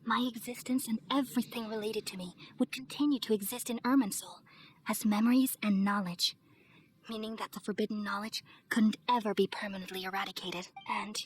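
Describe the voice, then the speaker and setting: A woman playing a serene, wise and motherly goddess in an anime-style video game, speaking gently and solemnly. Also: fairly high pitch, slight background noise, lightly acted. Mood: calm, resigned. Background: music.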